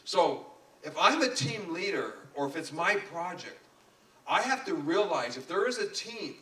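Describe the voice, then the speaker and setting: A man's voice: on the high side, fairly bright tone. A man giving an emphatic, folksy motivational business talk, repeating phrases for effect. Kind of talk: live motivational seminar talk. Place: room with a live audience. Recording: roomy echo, distant microphone.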